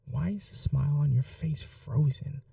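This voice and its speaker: A performer voicing a sweet, innocent young vampire prince, a doting younger brother, in breathy, earnest ASMR roleplay. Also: mid-range voice, normal speed, mellow, no room sound, clean studio sound, far from the mic. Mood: innocent, concerned. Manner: breathy, whispery, earnest, affectionate.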